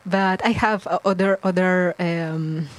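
Woman, Colombian Spanish accent, slow, lowish voice, halting English, guest DJ chat. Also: fairly even intonation. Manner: halting, searching for words, laughs often, soft trailing lines. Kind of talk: casual interview in non-native English.

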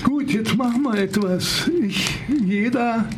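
A man hosting an interview, asking his guest questions in a slow, halting way. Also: high voice, slight background noise. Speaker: interviewer and host. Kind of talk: casual interview.

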